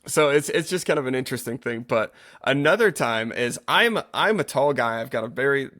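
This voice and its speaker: A man's voice: medium pitch, expressive, fairly quick, pushed and bright. Earnest man chatting on a casual podcast. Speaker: yoga teacher and podcast co-host. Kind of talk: casual conversational podcast chat.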